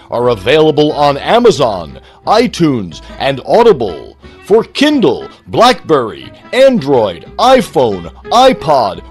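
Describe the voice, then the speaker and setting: A man reading a scripted advertisement as a promo announcer. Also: fairly high pitch.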